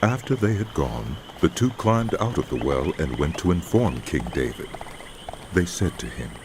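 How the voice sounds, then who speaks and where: A man giving solemn, measured dramatic narration of scripture as a scripted audio production. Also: deep voice, very animated, background noise.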